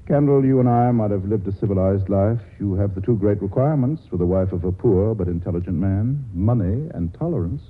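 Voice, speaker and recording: An actor in a 1940s radio drama playing an urbane, flippant, self-dramatizing writer. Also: male voice, lowish voice, lively intonation, a little fast, hardly any pauses, slightly rough. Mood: wry, urbane. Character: witty, self-dramatizing cultured male writer in love trouble. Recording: tinny, noisy 1940s radio broadcast.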